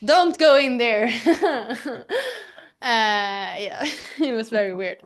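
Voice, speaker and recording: A young actress from Sweden answering interview questions hesitantly, with many fillers. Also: female voice, on the high side, drawn-out words. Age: young adult. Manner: hesitant, lots of fillers, laughs easily. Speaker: actress guest.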